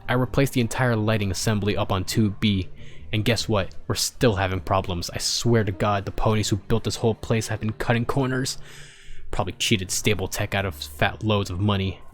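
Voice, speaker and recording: A man's voice: fairly low pitch, steady tone, moderate pace, lightly acted. A man voicing a grumpy, sarcastic pony maintenance supervisor who dictates log entries in a story. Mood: grumpy, irritated. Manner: exasperated, sarcastic, swearing. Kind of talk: dramatic storybook character reading. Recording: music behind the voice.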